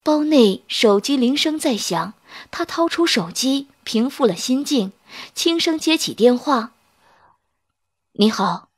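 Woman narrator, high, lively audiobook voice. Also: a little slow, husky. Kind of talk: dramatic scripted narration of a romance novel.

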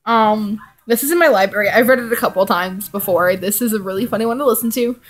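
A woman's voice: medium pitch, fast talker. A young woman vlogger chatting casually and reading fan fiction in a rambling, giggly way. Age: young adult. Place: living room indoors.

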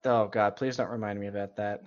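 A man's voice: on the low side, steady tone, a little fast, dull audio. A man in a casual joking chat, a wisecracking co-host who swears freely.

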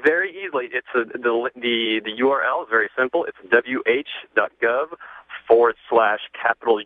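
A man calling in to a radio show, speaking earnestly and formally, articulate but halting, over the phone. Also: medium pitch, no echo. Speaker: radio call-in listener. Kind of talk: prepared, formal call-in remarks. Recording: narrow phone line, dry.

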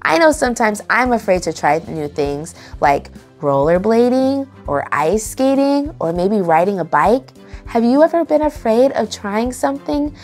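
Young female storytime host, expressive and engaging, reading picture books aloud for kids. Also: high-pitched. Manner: expressive, engaging, enunciated for children. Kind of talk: animated read-aloud for children.

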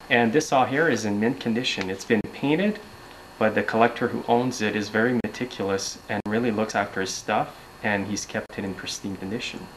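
A young man hosting a casual show-and-tell video in his garage workshop, explaining in a relaxed, plain-spoken way. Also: on the low side, a little hiss or hum, low-quality recording. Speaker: video host. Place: home garage workshop, indoors.

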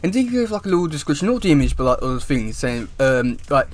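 Higher-voiced young man, halting casual talk. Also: big pitch swings. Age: young adult. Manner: halting, hesitant, wordy delivery. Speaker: YouTube host reviewing comic news. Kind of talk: casual chat to camera. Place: small room indoors at home.

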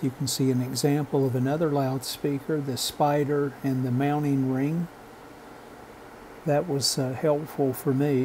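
Guy doing repair tutorial narration, slow and halting, mellow dark voice, bit of background noise. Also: mid-range voice, rough recording. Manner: halting, measured, technical. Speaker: host of a radio repair channel. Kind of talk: instructional repair narration over video.

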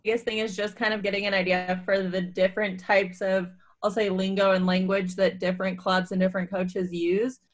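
A woman from Northern California chatting on a video call as a seminar participant, speaking in a relaxed, conversational way. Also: medium pitch, fairly quick, hardly any pauses. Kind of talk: casual chat.

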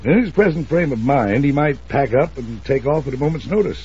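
An actor playing a wealthy, domineering older uncle in a 1950s radio drama, commanding, suspicious and emphatic. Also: male voice, medium pitch, expressive, fairly quick, darkish tone, slightly rough. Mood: insistent. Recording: muffled, noisy old radio recording.

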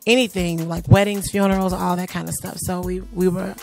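A middle-aged woman with a naturally raspy voice, a singer and youth arts instructor answering interview questions in a relaxed, chatty way with many fillers, recorded in a small studio on a handheld mic. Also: on the low side, brisk, soft background music. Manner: relaxed, chatty, trailing off with fillers, light laughs. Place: small studio in front of a logo backdrop. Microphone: handheld mic held by interviewer.

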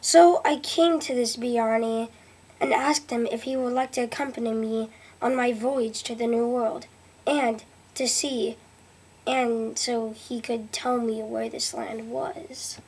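An earnest Viking explorer, played by a teenage girl tells of his voyages in a school report. The voice sits fairly high and sounds husky, with halting restarts. It is recorded close on a webcam in a small room. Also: steady tone, a little mellow, heavily acted. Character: male Viking explorer Leif Erikson, adult, earnest. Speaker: student presenter. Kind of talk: school history report in character. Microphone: webcam at close range.

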